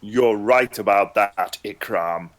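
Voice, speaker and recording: A man's voice: on the low side, slow pace. A man voicing a possessed male fairy who has turned cold and threatening. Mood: menacing. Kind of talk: amateur online table read.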